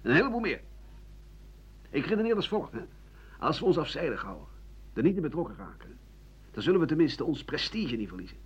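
An actor playing a bitter old French doctor-writer in a radio play, rambling through a sardonic, coarse, self-justifying monologue in a husky voice. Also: male voice, a little high, big pitch swings, brisk, long pauses. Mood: defensive, indignant. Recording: dull lo-fi 1980 radio recording.

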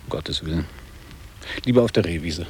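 An actor playing a guarded, wistful middle-aged man looking back on boarding school in a measured, literary radio play delivery. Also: deep voice, a little hiss or hum, lightly acted, low-quality recording. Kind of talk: German radio play acting.

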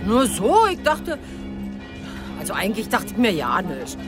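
A woman's voice: medium pitch, huge pitch swings, unhurried, over music. An actress playing a chatty, vague local woman in a radio drama, rambling as she recalls things. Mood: vague, musing.

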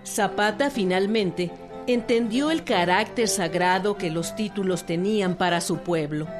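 A woman's voice: medium pitch, measured pace, music in the background. A woman reading scripted narration for a historical radio drama.

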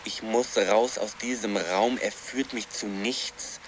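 A man's voice: on the low side, fairly even intonation, slow pace, slight background noise, poor audio. An actor playing a desperate man trapped inside a computer game, pleading urgently in a radio drama.

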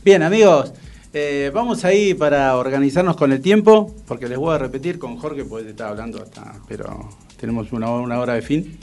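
A man's voice: mid-range voice, fairly quick, gravelly, a little mellow, soft background music. A man with an Argentine accent from Buenos Aires hosting live radio in a casual, chummy way, often repeating himself. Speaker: radio host.

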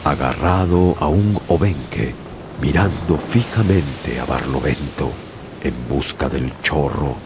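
An actor playing a sailor who narrates a whaling tale in a radio drama, in a grave storytelling manner. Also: male voice, very deep voice, animated, slow, noisy background, full character voice. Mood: grave, suspenseful. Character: male sailor narrator recalling a doomed whaling voyage. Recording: radio drama with music behind.

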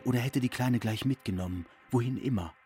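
A man's voice: medium pitch, fairly quick, over music. A man narrating a literary radio play from a written text.

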